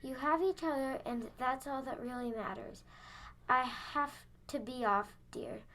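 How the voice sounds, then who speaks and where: Kindly widowed mother for a school radio play, played by a child, very high voice, faint background noise. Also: a little slow, sharp tone. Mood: apologetic, consoling. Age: child, about ten. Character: widowed mother of a grown daughter, kindly but hard up. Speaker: fourth grade student actor.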